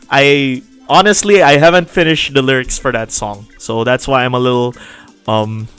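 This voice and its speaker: A man's voice: mid-range voice, steady tone, unhurried, fairly bright tone, clean tone, faint music behind. A man hosting a casual game livestream, answering viewer questions with frequent restarts and laughter. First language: not Japanese.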